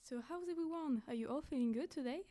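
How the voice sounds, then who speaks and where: Woman, podcast host, higher voice, mellow tone, eager questions. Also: quick pace. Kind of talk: scripted podcast interview.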